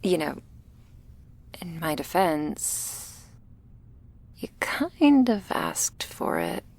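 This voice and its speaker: A woman performs a shy young female vampire in an ASMR roleplay, anxious and apologetic. Her voice is on the low side and crackles with fry. She talks very slowly and hesitantly, softly and intimately, as if to one listener. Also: very animated, exaggerated voice. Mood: nervous, apologetic. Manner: hesitant, halting, soft-spoken with whispery moments and nervous little laughs.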